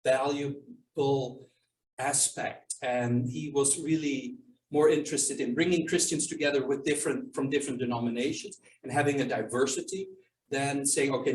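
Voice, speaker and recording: A man's voice: on the high side, darkish tone. Man speaking from far off in an echoey room. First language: not English. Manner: thoughtful, hesitant with many ums and restarts. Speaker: author and archivist. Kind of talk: answering audience questions at a book launch. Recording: echoey room, mic from far off, faint noise.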